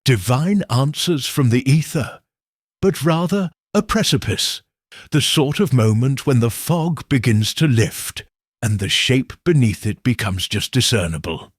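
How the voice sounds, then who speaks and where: A man narrating an audiobook in a slow, deliberate storytelling manner. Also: mid-range voice, expressive, husky, no echo, clean studio sound. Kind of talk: scripted narration.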